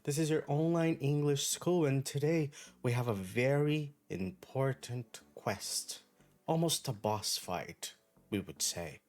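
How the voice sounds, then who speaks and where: A man whose first language is Brazilian Portuguese, giving an online English pronunciation lesson in a clear, deliberate, encouraging way. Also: mid-range voice, a little mellow. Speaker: English teacher.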